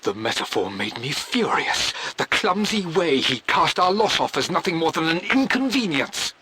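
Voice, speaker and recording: A man's voice: fairly high pitch, very animated, moderate pace, background noise. An actor playing a stubborn, devoted aristocratic explorer with a British accent, narrating his diary formally and theatrically in a video game. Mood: bitter grief.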